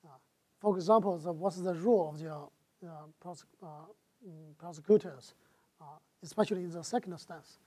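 A middle-aged man from China with a Chinese accent, answering audience questions in a lecture hall on a lapel mic, halting and full of "uh" fillers and restarts. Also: high voice, dark tone, husky. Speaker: guest lecturer.

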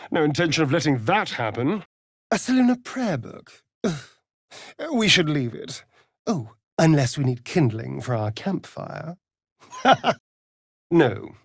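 Casting: a vain, sardonic British vampire rogue for a fantasy game; unhurried, theatrical drawl, widely swinging pitch, flirtatious edge. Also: male voice, no room sound, clean studio sound, heavily acted. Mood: sly, sardonic. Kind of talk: video game character dialogue.

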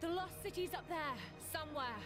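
A determined young female explorer in an adventure game. Her high voice is brave and stubborn, set over a musical score. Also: fairly quick, music in the background. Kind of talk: cinematic game acting.

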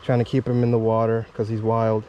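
A man filming himself fishing on a river bank with a chest-mounted camera, excitedly calling out to viewers as he fights and lands fish. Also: lowish voice, a little fast, muffled recording, slight background noise. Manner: exclaims often, talks to viewers. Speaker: fishing vlogger. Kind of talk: excited casual commentary while fishing. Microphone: chest or head mounted action camera.

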